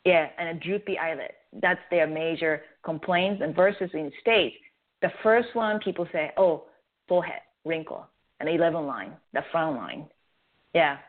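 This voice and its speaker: A woman's voice: deep voice, moderate pace. Japanese-accented woman on a phone line. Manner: earnest, animated explaining with restarts. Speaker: guest, face yoga creator. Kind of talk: radio interview chat.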